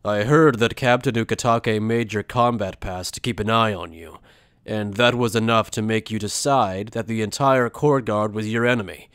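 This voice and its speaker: An earnest anime soldier played by a man talks with a creaky, gravelly voice. He sounds stern and suspicious, pressing his point like an accusation. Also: on the low side, normal speed, fairly bright tone, no echo. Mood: confrontational. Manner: stern, confrontational. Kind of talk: anime fan dub acting.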